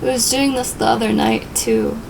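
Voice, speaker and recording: A woman's voice: fairly high pitch, sing-song, moderate pace, creaky, heavily acted. A woman playing a weary, skeptical young traveler in an audio drama, speaking in a casual, wry way. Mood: tired, wry. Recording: noisy ambience behind.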